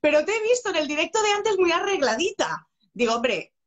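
A woman's voice: very high-pitched, very animated, a little fast, highs cut off. A bubbly, effusive woman with an accent from Spain, chatting casually as host of an interview from a room at home. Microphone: phone held close, live video call.

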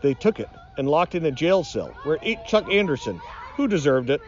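A man's voice: a little high, big pitch swings, brisk, highs cut off. A middle-aged man reading a children's storybook aloud in a dramatic, mock-ominous way. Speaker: nature educator reading aloud.

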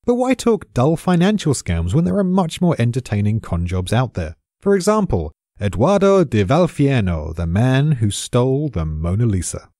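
A man's voice: mid-range voice, big pitch swings, moderate pace, few pauses, mellow, no echo, studio quality. A British man giving scripted explainer narration, in a wry, erudite storyteller's manner. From Britain. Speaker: expert narrator.

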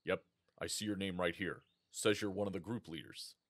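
A man's voice: sits low, normal speed, fairly bright tone, no echo, studio quality. An actor playing a stern, suspicious male army sergeant in a full-cast audiobook, speaking curtly and coldly. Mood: polite. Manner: curt, cold, commanding.

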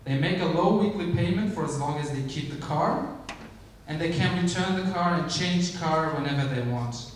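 Man, conference pitch, measured with ums, distant mic, boomy theater reverb. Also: slight background noise. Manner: measured, with frequent ums and restarts. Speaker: startup CEO pitching on stage. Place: large theater stage. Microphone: handheld mic, filmed from the audience. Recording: echoey hall from far off.

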